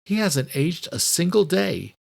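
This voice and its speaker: A man's voice: fairly high pitch, big pitch swings, a little slow, creaky, voice pushed up, exaggerated voice. A man voicing a protective, skeptical husband and intelligence operative in an audiobook, in a familiar, questioning way. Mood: amazed. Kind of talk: audiobook character dialogue.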